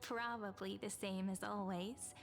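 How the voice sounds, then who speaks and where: A woman voicing a wistful, earnest young storyteller in a video game, measured and tender. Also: mid-range voice, steady tone, creaky. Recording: music over the voice.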